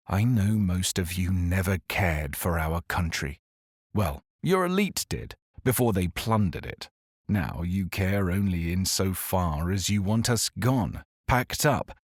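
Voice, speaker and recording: An actor playing an exiled male doctor who speaks in a calm, grave, formal monologue in a scripted audio drama. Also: on the low side, husky, no echo, studio quality, heavily acted. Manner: measured, formal confessional address. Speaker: narrator character.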